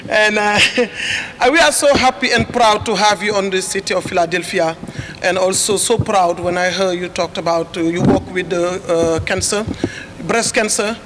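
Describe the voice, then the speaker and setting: A woman from Senegal with a Senegalese accent, giving an earnest, halting welcome speech. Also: mid-range voice, fairly even intonation, noisy background. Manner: earnest, halting, searching for words. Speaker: chair of a community organization. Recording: echoey hall with faint clicks.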